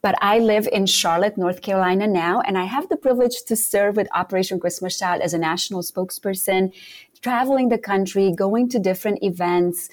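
A woman from Romania, a guest speaker for a ministry, talking in a measured, grateful way. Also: medium pitch. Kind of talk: interview conversation.

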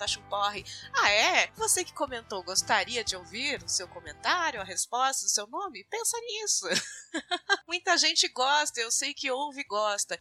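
A woman from Brazil co-hosting a casual podcast, reading listener comments aloud and often breaking into laughter. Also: high voice. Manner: giggly, playful, swings between loud and soft. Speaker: podcast co-host. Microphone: studio microphone.

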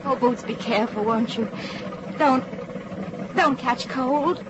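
An actress playing a devoted, anxious young army nurse in a vintage radio drama, with earnest emotional delivery. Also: female voice, high voice, slow pace, noisy background. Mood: anxious, tender. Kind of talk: vintage wartime radio drama acting and narration. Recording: muffled old radio drama with music behind.

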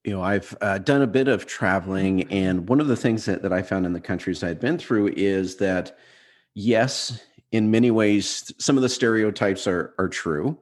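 A man's voice: fairly low pitch, moderate pace. A man hosting an interview podcast over a video call, asking loose, friendly questions that he often restarts. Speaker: podcast host.

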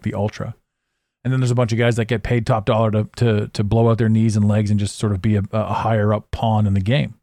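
A man hosting a solo podcast, talking intensely and repeating words as his voice swings between loud and quiet. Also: fairly low pitch, no echo, studio quality. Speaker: podcast host.

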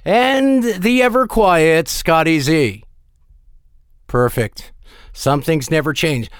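Hoarse guy hosting a music show, high voice, slow and joky, dry close mic. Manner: self-deprecating, joking. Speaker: host. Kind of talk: casual radio show hosting.

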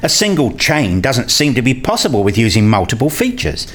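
A man's voice: mid-range voice, very animated, a little fast. A man playing a curious, skeptical interviewer in a radio play, speaking in an inquisitive, articulate way. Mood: curious, probing. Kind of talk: scripted questioning dialogue in a radio play.

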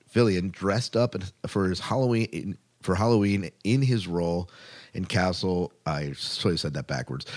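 A man with a very low voice hosts a podcast. He talks casually and conversationally, circling back to rephrase his points as he goes. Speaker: podcast host. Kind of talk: casual chat about science fiction news.

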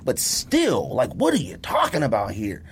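An American man hosting a podcast, talking sports casually in an animated, emphatic, exasperated rant with a husky voice. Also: medium pitch, normal speed, slight background noise. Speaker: sports and sneaker podcast host.

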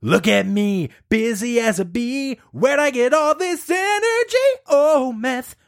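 A man's voice: very high voice, expressive, takes it slowly. A young man co-hosting a podcast, chatting casually with friends, teasing and laughing often. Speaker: podcast host. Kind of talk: casual banter among friends.

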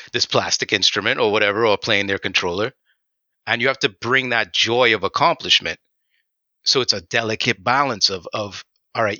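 A man's voice: on the low side, bright, forceful tone, dry recording, highs cut off. A man from Trinidad, a game audio designer, talking casually and animatedly with many fillers in an interview.

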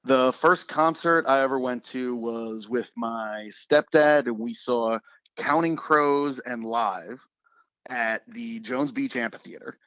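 A man with a slightly raspy voice, a radio producer chatting casually as a guest, relaxed and joking with fillers and restarts. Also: medium pitch, slow pace, no room sound, highs cut off. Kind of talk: casual interview chat.

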